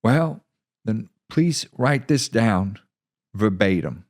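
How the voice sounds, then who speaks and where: A man voicing a calm, courteous army colonel in an audio story, formal, measured and used to being obeyed. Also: on the low side, voice pushed up. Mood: commanding.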